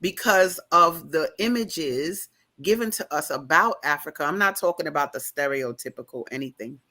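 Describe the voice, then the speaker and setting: A woman host talks over a video call. Her voice is bright and forceful. She rambles through long, lively questions, circling back on herself as she goes. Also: low-pitched, normal speed. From New York. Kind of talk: casual interview question.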